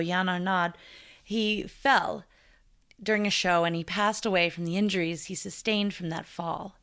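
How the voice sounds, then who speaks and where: A woman hosting a podcast, chatting in a curious way and reacting quickly to her guest. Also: on the low side, a bit bright, clean tone. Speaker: podcast host. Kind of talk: casual interview chat.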